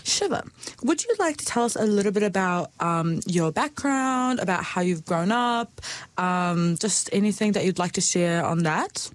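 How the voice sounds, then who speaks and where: A woman's voice: mid-range voice, lively intonation, dry recording. A young woman hosting a podcast, chatty and bubbly. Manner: bubbly, chatty, quick to cheer and laugh. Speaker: podcast host. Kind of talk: casual interview chat.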